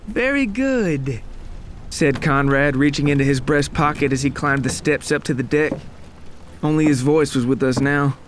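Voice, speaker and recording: A man's voice: mid-range voice, little pitch movement, normal speed, slight background noise. A man narrating a scripted first-person horror story in a dramatic, solemn, suspenseful manner.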